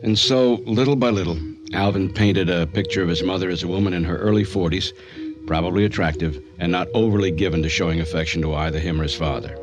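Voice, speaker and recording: A man's voice: low voice, fast talker, close to an everyday voice. A man playing a sober psychiatrist narrating a case in a radio drama. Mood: grave, measured. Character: sober male psychiatrist recounting a patient's case. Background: music.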